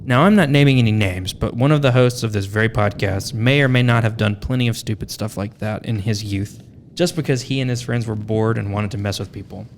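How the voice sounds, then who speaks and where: Low-voiced man narrating, wry, over background noise. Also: a little fast. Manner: wry, tongue-in-cheek asides. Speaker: podcast host. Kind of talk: scripted podcast narration.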